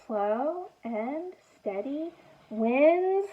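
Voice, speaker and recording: A woman teacher doing an expressive picture-book read-aloud for young children, commenting and asking prompting questions in an animated way. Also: high-pitched, very slow, narrow, tinny audio. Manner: expressive, animated storytelling with gasps and exclamations.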